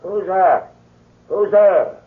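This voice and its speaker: An actor playing a gruff, mocking, stubborn old landowner in a radio drama. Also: male voice, high-pitched, extremely slow, slight background noise. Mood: irritated. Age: older. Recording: dull 1970s radio recording.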